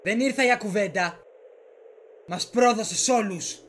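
A man's voice: high voice, fairly bright tone, slight background noise. A man playing a defiant, honourable young samurai lord, resolute and terse, in an amateur game dub.

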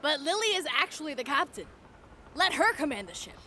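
A woman voicing a helpful, excitable young boy tiger cub in a children's cartoon, earnest and peacemaking. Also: sits high, brisk, slight background noise, heavily acted. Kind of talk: dubbed children's cartoon acting.

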